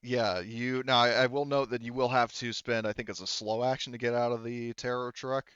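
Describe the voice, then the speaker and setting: A man's voice: medium pitch, steady tone, fairly quick, fairly bright tone, clean tone, no room sound, highs cut off. A man acting as game master, narrating and refereeing a tabletop roleplaying game in an informal, hesitant, think-aloud way.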